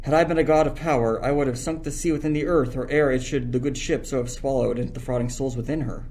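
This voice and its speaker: A man's voice: mid-range voice, fairly even intonation, fast, a little mellow, a little hiss or hum, natural voice, poor audio. A man playing a tender-hearted young son in a Shakespeare radio play, speaking verse with earnest pleading. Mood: distressed, pleading.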